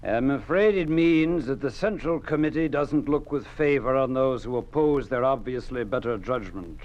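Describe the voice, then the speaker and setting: An American man playing a cold, smug Communist official in a 1950s radio drama, smooth, condescending and quietly menacing. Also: mid-range voice, expressive, normal speed, lightly acted. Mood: smoothly menacing. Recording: muffled vintage 1950s radio recording.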